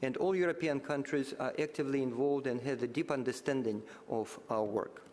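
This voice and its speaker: A man whose first language is Russian, giving formal remarks in English at a conference side event, halting and measured with frequent pauses. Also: mid-range voice, steady tone, darkish tone. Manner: halting, many filler sounds and restarts, measured. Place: large conference hall. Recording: hall reverb with faint ambience.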